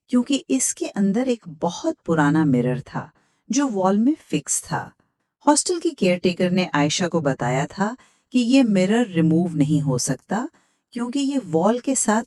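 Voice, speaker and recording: A woman's voice: on the low side, mellow, no room sound, studio quality. A woman with a Pakistani accent hosting and reading a scripted horror story. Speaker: host, horror story narrator.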